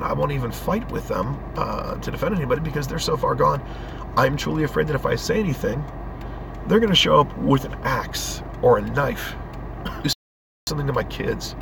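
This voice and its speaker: A man with a hoarse voice from a head cold, rambling and ranting as he vlogs while driving. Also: mid-range voice. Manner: rambling, earnest rant. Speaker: vlogger. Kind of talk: casual driving vlog. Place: inside a moving car. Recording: car road noise.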